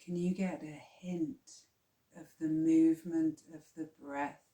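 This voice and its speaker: A woman teaching yoga nidra, guiding relaxation in a calm, soothing, hushed way with long pauses. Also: deep voice, roomy sound. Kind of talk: slow guided relaxation meditation.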